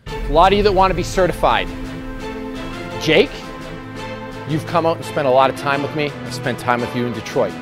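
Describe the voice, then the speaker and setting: A middle-aged American man with a slightly high voice speaks to a group as a gym coach. His delivery is emphatic and informal. Music plays clearly behind him. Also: moderate pace, long pauses. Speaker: gym instructor leading a challenge. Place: large gym hall with onlookers.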